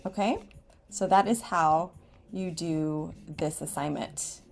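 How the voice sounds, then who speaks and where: A woman's voice: sits low, slow. An American woman teaching a step-by-step computer lesson for students, using simple instructional phrasing and often checking in with an okay. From Washington State, United States. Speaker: ESOL writing teacher. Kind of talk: step-by-step screen tutorial for students. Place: indoors at a computer, screen recording with webcam.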